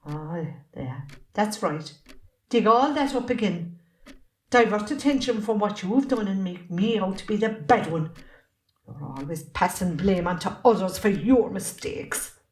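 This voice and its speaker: Casting: woman as middle-aged Irish farm wife, wronged and resentful, mid-pitched, reproachful in stage-play style. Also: animated, a little slow, close to an everyday voice. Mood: hurt, defiant. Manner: reproachful, pointed. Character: wronged, resentful Irish farmer's wife, standing her ground. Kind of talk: amateur stage-play acting.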